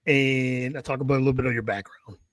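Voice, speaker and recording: A man's voice: mid-range voice, fairly even intonation, fast, a bit bright, no echo, studio quality. A man hosting a live podcast, asking friendly, rambling questions with restarts. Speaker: podcast host.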